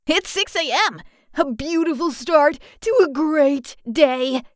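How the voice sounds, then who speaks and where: Woman voicing an excitable, drawling would-be cowboy. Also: high voice, slow pace, no room sound, clean studio sound, voice pushed up, heavily acted. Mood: bossy, eager. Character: excitable cowboy-obsessed male birthday boy, boisterous and cheerful. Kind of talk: children's audiobook character voice.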